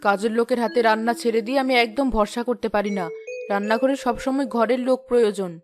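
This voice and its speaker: A woman's voice: fairly high pitch, steady tone, quick pace, faint music behind, close to an everyday voice. A woman playing an outspoken, practical middle-aged village wife and mother in a cartoon, with a matter-of-fact manner. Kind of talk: Bengali cartoon voice acting.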